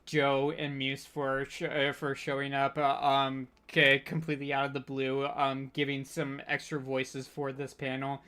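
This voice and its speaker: A man hosting an informal online panel, speaking in a halting, scattered and hesitant way. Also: medium pitch, completely flat delivery, bright, forceful tone, clean tone. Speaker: panel host.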